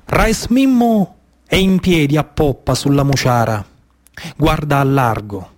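A man's voice: a little high, expressive, unhurried, no echo, studio quality. A man with a Sicilian accent giving dramatic, declamatory scripted narration as a stage storyteller in short suspenseful phrases.

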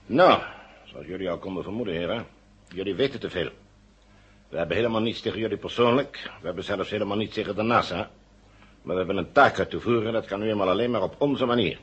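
A smug captor in a 1970s radio thriller. His voice is low and very rough-edged. He speaks with condescending, mocking courtesy. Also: a bit bright, muffled recording. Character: smug, coolly polite male villain holding captives. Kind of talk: 1970s radio drama acting.

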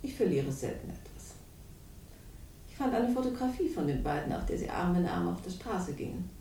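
A woman's voice: sing-song, moderate pace, mellow, slight background noise. An actress playing a cold, manipulative woman in a radio crime drama, speaking with smug irony and cool amusement. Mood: smug relish. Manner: smug, ironic, coolly amused. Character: cold, manipulative, mocking woman confessing her schemes. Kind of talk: 1960s German radio crime drama acting. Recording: roomy, distant, faintly rumbling radio recording.

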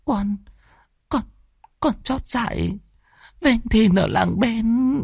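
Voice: medium pitch, slow, vocal fry, a little mellow, slightly rough, no room sound, studio quality, voice pushed up, exaggerated voice. A storyteller voicing a meek, frightened young daughter, stammering and begging tearfully. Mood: meek confession. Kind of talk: dramatic audio storytelling.

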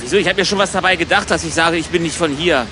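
A man's voice: a little high, rapid-fire, bright, forceful tone, a little hiss or hum. A man playing an eager, practical young German emigrant in a radio play, chatty and mildly exasperated as he talks and narrates. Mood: eager, impatient.

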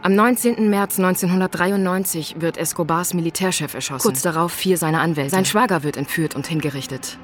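A man's voice: high-pitched, fairly quick, few pauses, fairly bright tone, slight background noise. A man reading scripted documentary narration as the narrator. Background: music.

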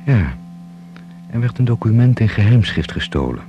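A man's voice: lowish voice, big pitch swings, normal speed, lo-fi sound, background noise. An actor playing a stern, troubled male secret agent in a radio drama. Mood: troubled, serious.

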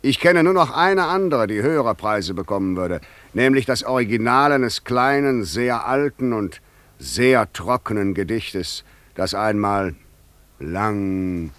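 Sly secretary, dry close sound. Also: male voice, lowish voice, lively intonation, unhurried, fairly bright tone, no room sound. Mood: sly, insinuating. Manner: insinuating, ironic politeness. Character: sly, servile secretary with mocking courtesy. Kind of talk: German radio play acting.

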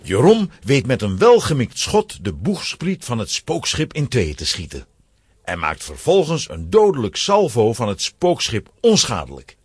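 A man's voice: mid-range voice, wildly swooping pitch, measured pace, breathy edge, no room sound. A man narrating a children's adventure story in a dramatic, scripted storytelling style.

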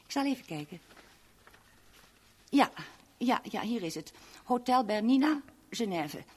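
An actress playing a helpful hotel receptionist, polite, hesitant and eager to chat, in a 1970s Dutch radio play. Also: female voice, fairly high pitch, lively intonation, measured pace, husky.

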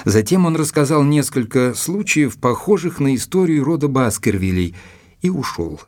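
An actor playing an observant English gentleman who narrates his written report in a measured, expressive audio-drama storytelling style. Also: mid-range voice, no room sound. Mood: reflective. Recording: dry studio recording with music behind. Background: music.

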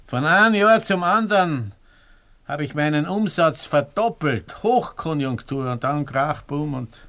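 A man's voice: a little high, animated, a little slow, fairly bright tone. An actor playing a bereaved, ruined Viennese businessman turned scrounger, rambling plaintively with bitter irony in a radio play. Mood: bitter nostalgia. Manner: rambling, plaintive, repeating himself. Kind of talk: German-language radio play acting.

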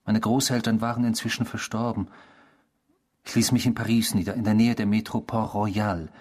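A man's voice: fairly low pitch, flat delivery, normal speed, lightly acted. A male actor in a German radio drama playing an intrusive, obsessive stranger who talks insistently and theatrically. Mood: brooding, reminiscing. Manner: insistent, theatrical, probing, swinging from hushed to emphatic.

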